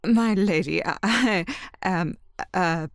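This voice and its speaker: A woman playing a genteel but secretly jealous scheming mistress in an audio drama, with hesitant, deliberate delivery. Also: lowish voice, no echo, clean studio sound. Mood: polite, reluctant. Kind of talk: scripted ASMR audio roleplay.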